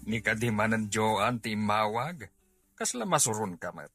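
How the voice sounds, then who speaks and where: A man's voice: on the low side, big pitch swings, measured pace, a bit bright, no echo, studio quality, soft background music, full character voice. A man playing an older, concerned Filipino father in a comedy drama. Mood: disapproving. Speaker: actor in an audio drama.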